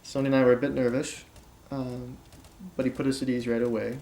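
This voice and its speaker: An actor playing an uneasy man giving a halting, understated account in a naturalistic documentary interview style. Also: mid-range voice, little pitch movement, gravelly, mellow, natural voice. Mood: puzzled. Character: uneasy man recounting meeting his secret half-brother.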